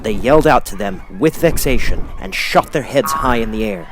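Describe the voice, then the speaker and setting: Very animated man narrating a storybook. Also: medium pitch, moderate pace, very noisy. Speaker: audiobook narrator. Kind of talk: expressive scripted children's story narration.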